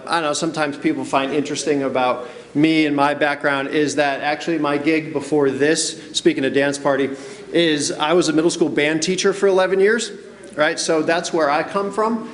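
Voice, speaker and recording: A man giving a casual conference talk, chatty and often asking "right?" to the audience. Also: fairly high pitch. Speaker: conference presenter. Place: conference room with noise next door. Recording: roomy hall recording.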